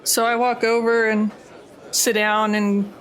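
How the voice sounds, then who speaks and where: Female tabletop player, somewhat high pitch, dry humor, conversational improvised delivery. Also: level tone, a little slow, a bit bright, low-quality recording. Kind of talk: casual in-character improvised chat.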